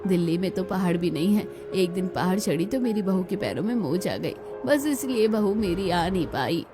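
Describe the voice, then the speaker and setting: A woman's voice: fairly low pitch, animated, normal speed, close to an everyday voice. A woman voicing an older village mother-in-law, proud, practical and kindly, in a cartoon story. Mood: proud, defensive. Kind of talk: scripted cartoon story dialogue. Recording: music behind the voice.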